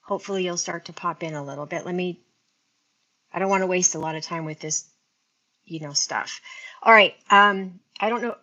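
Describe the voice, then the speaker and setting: A woman's voice: on the low side, normal speed, pauses often, bright, forceful tone, lo-fi sound. A sixty-year-old woman hosting a casual beauty live stream, chatting and rambling to her viewers, talking into an earphone mic linked to her phone. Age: 60s. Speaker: beauty livestream host.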